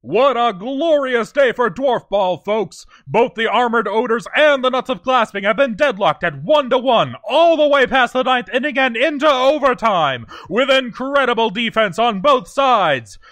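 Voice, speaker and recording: A man voicing an excitable sports commentator, giving breathless, showy play-by-play in a parody game broadcast. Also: extremely high pitch, unhurried, few pauses, no echo, voice pushed up, exaggerated voice. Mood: excited. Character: excitable high-pitched sports commentator calling dwarf ball.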